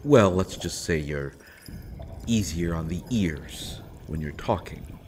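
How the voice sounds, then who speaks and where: A man playing a sardonic imprisoned assassin in a roleplay audio drama, speaking deliberately with wry mock courtesy and pointed pauses. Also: deep voice, expressive, a little mellow, a little hiss or hum. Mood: dryly amused. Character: sardonic imprisoned male assassin, principled, coolly amused. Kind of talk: scripted roleplay audio drama, addressed to listener.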